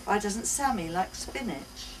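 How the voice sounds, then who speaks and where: A woman's voice: mid-range voice, lively intonation, measured pace, lightly acted. A woman voicing an inquisitive, insistent, chirpy little daughter in a short story read aloud. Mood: curious, insistent. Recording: distant mic, some room noise.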